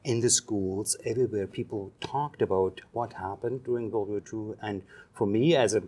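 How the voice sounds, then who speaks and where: A man in his fifties from Germany, speaking English with a German accent as a psychology professor answering interview questions thoughtfully, with frequent pauses and fillers. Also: on the low side, dark tone, breathy edge. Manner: reflective, pausing with many ums and uhs.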